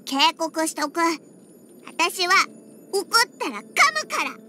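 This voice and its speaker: A woman's voice: extremely high pitch, a little slow, very noisy. A woman voicing a feisty little catgirl in an anime game, with a childlike, cutesy voice and a huffy, pouty manner. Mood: indignant, pouty. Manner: pouty, huffy, with cat-like verbal tics. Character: feisty little catgirl bartender who hates alcohol. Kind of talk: Japanese anime game character acting. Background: background music.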